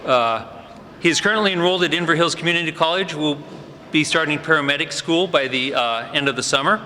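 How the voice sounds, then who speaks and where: A man's voice: fairly high pitch, brisk, bright, forceful tone. A man introducing graduates at a fire department ceremony, giving off-the-cuff remarks with frequent fillers. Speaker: fire department EMS supervisor presenting cadets. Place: large indoor hall at a ceremony. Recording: echoey hall with faint ambient noise.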